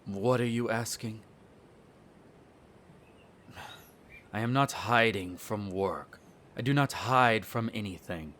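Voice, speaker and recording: A man's voice: on the low side, measured pace, fairly bright tone, slight background noise. Guy doing a snobby grumpy vampire noble for audio roleplay, sneery and formal, some birds in the background. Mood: defensive. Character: haughty, irritable aristocratic male vampire, disdainful of humans. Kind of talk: roleplay audio drama acting. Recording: nature ambience with birdsong behind. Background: birdsong and garden ambience.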